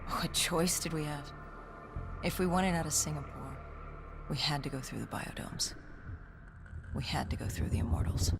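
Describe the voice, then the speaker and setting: An actor playing an augmented soldier in a video game, haunted and resolute, recounting past missions in grave, measured storytelling. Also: medium pitch, creaky, slight background noise, close to an everyday voice. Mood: subdued, resolute. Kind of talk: dramatic game cutscene narration. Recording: music under the voice. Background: music.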